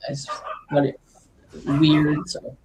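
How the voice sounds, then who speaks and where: A woman with a husky smoker's voice, chatting slowly as a guest on an online call and laughing between halting phrases. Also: sits low, monotone, a little mellow, slight background noise, low-quality recording. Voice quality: husky, says she has a smoker's voice. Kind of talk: casual chat.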